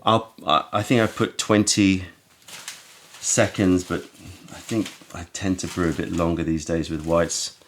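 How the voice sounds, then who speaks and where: A man hosting a casual tea tasting, his voice croaky from having just woken up. He talks slowly and musingly, often stopping to search for the right word. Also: sits low, level tone, a little hiss or hum. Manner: slow, musing, searching for words. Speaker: tea shop host.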